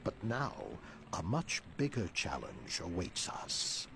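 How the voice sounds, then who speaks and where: An actor playing a grave, stern older mutant leader in a video game, speaking with formal authority. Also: male voice, sits low, wildly swooping pitch, takes it slowly, creaky, fairly bright tone, a little hiss or hum, full character voice.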